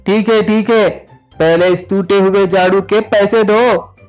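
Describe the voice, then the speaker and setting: A man voicing a crafty, grumbling street broom seller in an animated story, exclaiming and calling out. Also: high-pitched, measured pace, soft background music. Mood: exasperated.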